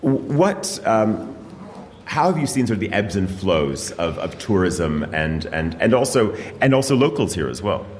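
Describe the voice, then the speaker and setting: A man's voice: deep voice, very animated, moderate pace, darkish tone. A man hosting a live panel, asking rambling questions full of fillers and hedges. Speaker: host. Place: hotel hall with audience. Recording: long reverb of a hotel hall with faint noise.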